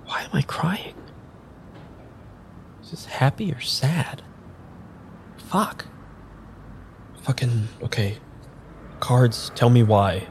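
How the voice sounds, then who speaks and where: A man's voice: medium pitch, lively intonation, extremely slow, pauses often, slight background noise. A man in an improvised audio drama playing a frantic, foul-mouthed amnesiac who stammers and mutters as he works through tarot cards. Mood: overwhelmed. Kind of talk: improvised audio drama, halting naturalistic delivery.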